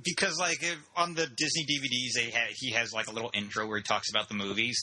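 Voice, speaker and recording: A man's voice: mid-range voice, fairly even intonation, fast talker, pushed and bright, breathy edge, low-quality recording. A man chatting casually with enthusiasm and strong opinions. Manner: enthusiastic, opinionated, says "dude". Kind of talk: casual chat.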